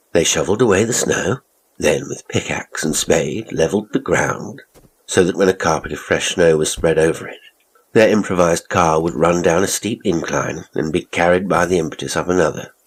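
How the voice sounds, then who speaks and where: Audiobook narrator, man: deep raspy voice for period literary fiction, scripted storytelling delivery.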